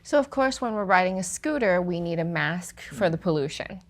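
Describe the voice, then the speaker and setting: A woman teaching Chinese vocabulary in a lively, quick lesson dialogue. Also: lowish voice. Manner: lively, quick, gives example sentences slowly.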